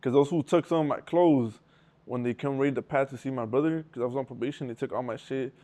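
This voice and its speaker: Young so-cal guy rattling off stories quick, cussing nonstop, lots of restarts. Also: medium pitch, warm tone. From Southern California. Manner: rambling, profane, street slang, restarts often. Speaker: interview guest. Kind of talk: casual storytelling with heavy slang.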